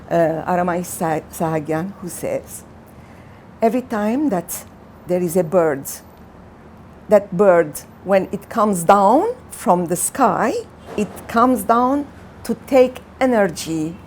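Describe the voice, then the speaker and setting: Woman, community center worker, slow and heartfelt, faint ambient hiss. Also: mid-range voice, dark tone. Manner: slow, heartfelt, searching for words. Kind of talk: interview testimony.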